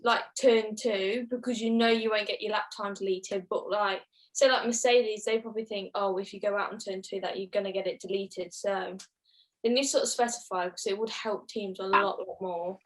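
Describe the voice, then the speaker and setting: A woman's voice: medium pitch, fairly even intonation, normal speed, rough recording. A young woman co-hosting a podcast in casual chat, peppering her sentences with "like". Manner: peppers speech with "like". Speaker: podcast co-host.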